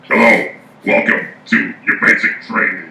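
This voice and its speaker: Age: adult. Sex: man. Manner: slow, gravelly, joking. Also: sits low, pushed and bright. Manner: playful, mock-grandiose. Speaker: host. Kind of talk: casual joking banter. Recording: echoey room with faint noise.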